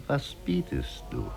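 A very deep, croaking sly trader drawls with swooping pitch. He sounds amused and crafty in an old radio drama. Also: a little mellow, exaggerated voice. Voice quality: gravelly, croaking. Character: sly, amused male monkey dealer. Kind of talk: 1950s radio play acting.

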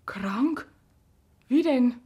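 High-voiced, stubborn young country daughter. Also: female voice, lively intonation, drawn-out words, warm tone, husky, exaggerated voice. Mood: miserable. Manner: defiant, plaintive. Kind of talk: naturalistic radio play acting.

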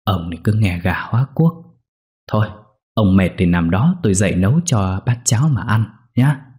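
A man's voice: fairly low pitch, expressive, moderate pace, a little mellow, close to an everyday voice. A man voicing an older village wife who nags but cares, in an audio story. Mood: dismissive caring.

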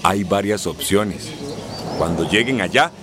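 Low-voiced secretive recruiter. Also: male voice, lively intonation, unhurried. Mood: guarded, firm. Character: secretive, smooth-talking recruiter, easily offended. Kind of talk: radio soap opera acting. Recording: music behind the voice.

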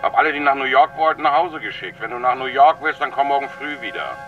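A man's voice: medium pitch, expressive, quick pace, close to an everyday voice. Man as curt night-shift bus station attendant, radio play, dull distant sound, music under. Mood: gruff.

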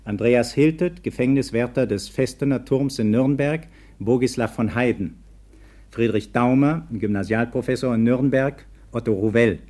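A male radio announcer with a medium-pitched voice. He reads scripted credits in a formal, measured and precise way. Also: mellow.

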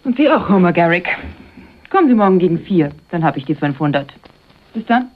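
A woman's voice: lowish voice, sing-song, fairly quick. An actress playing a self-possessed, mercenary woman in a radio crime drama, speaking with guarded, dry irony. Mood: cool, dry. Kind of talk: 1980s German radio crime drama acting. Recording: dull, band-limited radio play recording.

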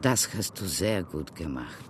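A man's voice: low-pitched, expressive, measured pace, background noise. An actor playing a protective Apache mentor who speaks to a small boy in short, soothing, solemn phrases in a radio drama. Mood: reassuring, solemn.

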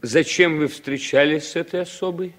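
An actor playing an insinuating committee investigator with a drawling, pointed delivery, in 1960s Soviet radio drama style. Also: male voice, a little high, normal speed, natural voice.

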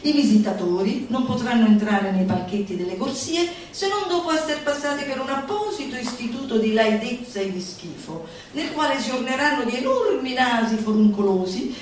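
A woman performer reading a manifesto as theatrical declamation, deliberate and declamatory, with dramatic pauses. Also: fairly high pitch, expressive, a little mellow. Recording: roomy, echoing, distant mic, faint noise.

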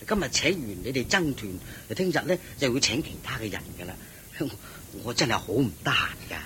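An actor playing a complacent, evasive rich householder in a Cantonese radio drama, hearty and self-satisfied, with a Hong Kong accent. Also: male voice, mid-range voice, very animated, brisk, pushed and bright, a little hiss or hum. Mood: evasive, polite.

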